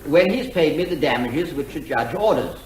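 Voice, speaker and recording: A man's voice: a little high, lively intonation, normal speed, reverberant, slight background noise, lightly acted, rough recording. An actor playing a garrulous, plaintive everyman in the witness box, fussy and nervous in manner. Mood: stubborn, assured. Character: flustered, garrulous, plaintive ordinary man as court witness. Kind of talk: comic courtroom drama.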